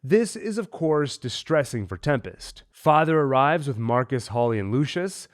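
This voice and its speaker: A man hosting a TV recap, talking with a cold in a measured, analytical way. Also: medium pitch, big pitch swings. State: has a cold. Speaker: host. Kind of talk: TV episode recap and theories.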